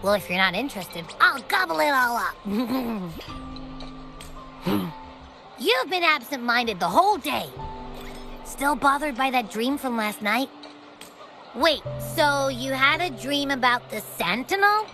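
Bright, high, boastful little creature. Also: female voice, sing-song, slow pace, long pauses, faint music behind, full character voice. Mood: curious, chatty. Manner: boastful, whiny, exclamatory. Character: boastful, gluttonous, childlike little creature companion, protective and excitable. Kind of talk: video game voice acting.

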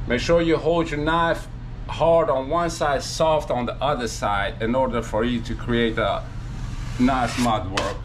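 A man's voice: mid-range voice, steady tone, unhurried, background noise. A man in his fifties, originally from Afghanistan, explaining a drywall repair step by step in a plain way with frequent fillers, in a small bare room. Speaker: drywall painter. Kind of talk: hands-on repair demonstration.

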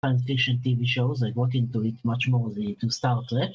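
A man in his late thirties with an Israeli accent, a guest speaking hesitantly with pauses over a video call. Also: medium pitch, steady tone, dull audio, a little hiss or hum. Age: 30s. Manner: hesitant, plain phrasing with pauses. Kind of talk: casual interview chat.

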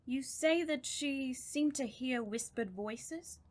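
A woman playing a grave, scholarly doctor of the supernatural in an audio drama, with a formal, deliberate and ominous manner. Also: high-pitched. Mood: formal, measured.

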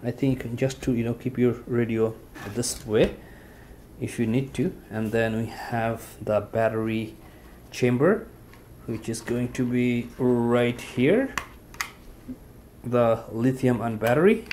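An everyday man's voice of ordinary pitch talks through a gadget demo in a relaxed, rambling way, full of "you know" and "uh". He is off-screen in an indoor room, and a faint background hiss sits under his voice. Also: slow. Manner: relaxed, filler-heavy, says "you know" often. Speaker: product reviewer. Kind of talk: casual hands-on product demonstration.

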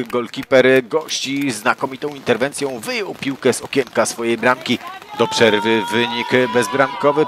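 A man giving a scripted voice-over of a youth football match, at a middle pitch. His delivery is even, in a steady reporting manner. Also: background noise.

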